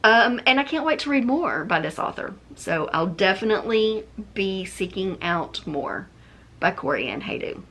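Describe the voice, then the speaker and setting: A woman's voice: mid-range voice, big pitch swings, moderate pace, fairly bright tone. A woman giving informal, opinionated book reviews in a chatty way, thinking aloud and correcting herself as she goes.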